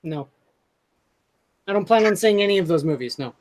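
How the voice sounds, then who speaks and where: A man with a high-pitched voice in a relaxed podcast conversation. He speaks casually, as one co-host among several. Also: brisk, a little hiss or hum. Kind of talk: casual chat.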